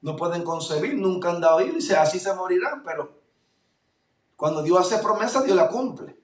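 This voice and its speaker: High-voiced Puerto Rican pastor preaching. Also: male voice, expressive, normal speed. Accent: Puerto Rican Spanish. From Puerto Rico. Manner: fervent preaching, swings between loud declarations and low asides. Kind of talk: sermon. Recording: echoey room, voice from far off mic.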